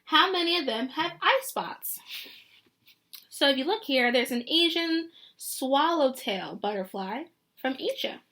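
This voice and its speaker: A woman teacher reading a picture book aloud to young children in an animated way, often putting questions straight to them. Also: high voice, measured pace.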